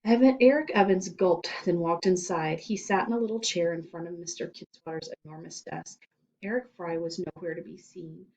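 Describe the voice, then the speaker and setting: Expressive woman reading a children's story. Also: on the low side, normal speed, poor audio. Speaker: story reader.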